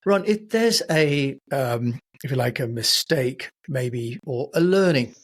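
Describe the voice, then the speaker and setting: A man's voice: mid-range voice, expressive, takes it slowly, breathy edge. A man hosting a podcast, talking conversationally with a guest. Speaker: podcast host. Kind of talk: conversational interviewing.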